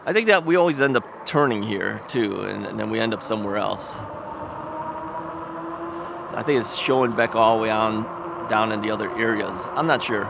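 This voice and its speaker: A man's voice: lowish voice, moderate pace, vocal fry. A man vlogs while riding an ebike on suburban streets. He talks casually and rambles, chuckling often and thinking aloud into a camera mounted on the bike. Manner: rambling, chuckling, self-questioning. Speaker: vlogger. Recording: traffic and wind noise outdoors.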